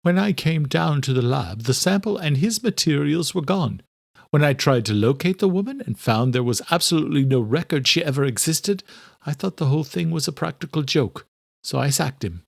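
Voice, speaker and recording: Man voicing an elderly scholarly doctor, raised gravelly pitch. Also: expressive, no echo, clean studio sound. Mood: earnest, regretful. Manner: formal, deliberate, old-fashioned phrasing. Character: elderly scholarly doctor, courteous, direct, troubled. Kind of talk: audiobook character dialogue.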